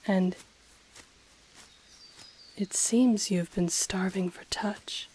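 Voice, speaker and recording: A woman voicing an ancient dragon familiar, possessive, teasing and tender, speaking softly and formally in an intimate ASMR roleplay. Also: medium pitch, fairly even intonation. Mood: teasing, seductive. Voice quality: soft, breathy, often whispering. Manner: slow, formal, teasing, purring delivery.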